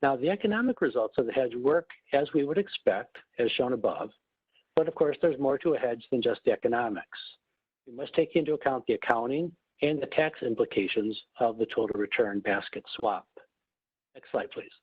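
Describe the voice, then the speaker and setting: A man giving a prepared, formal webinar presentation in a measured, explanatory manner, as a corporate executive. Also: medium pitch, animated, breathy edge. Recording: muffled phone line, roomy echo.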